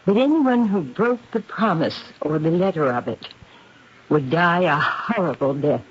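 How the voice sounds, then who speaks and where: A woman's voice: on the low side, lively intonation, slight background noise. A woman playing a guilt-ridden titled lady devoted to spiritualism, confiding dramatically in a 1970s radio drama. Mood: grave, confiding. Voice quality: husky. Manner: confessional, dramatic, measured. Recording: muffled vintage radio broadcast.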